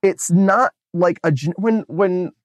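A man's voice: high-pitched, slow, no echo, clean studio sound. An American man co-hosting a podcast, chatting casually about a film with enthusiasm and plenty of fillers and restarts. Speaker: podcast co-host. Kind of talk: casual movie review chat.

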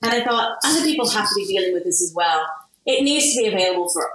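A woman from Kansas in her forties, answering interview questions in an animated way and laughing often. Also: medium pitch, fast talker. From Kansas, USA. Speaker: guest, product founder. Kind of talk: interview conversation. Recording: very echoey room, faint noise.

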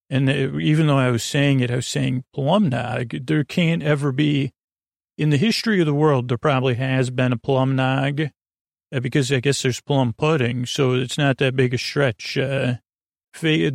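A man hosting a bedtime podcast, rambling in a halting, tangential way with trailing unfinished sentences and a gravelly voice. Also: mid-range voice, fairly even intonation, no room sound, clean studio sound. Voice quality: creaky, gravelly. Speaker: podcast host. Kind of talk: rambling bedtime monologue to help listeners fall asleep.

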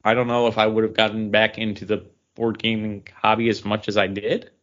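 A man's voice: on the low side, flat delivery, fairly quick, a bit bright. Easygoing rambling podcast man. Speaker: podcast co-host. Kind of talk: casual chat. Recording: dull lo-fi recording.

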